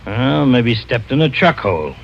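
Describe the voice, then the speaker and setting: A man's voice: mid-range voice, very animated, moderate pace, creaky. Laconic country lawman, guarded, mid-range voice, dulled vintage radio drama sound. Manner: folksy, guarded. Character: laconic, folksy small-town Western sheriff. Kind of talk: 1950s radio drama acting. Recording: muffled old radio broadcast recording.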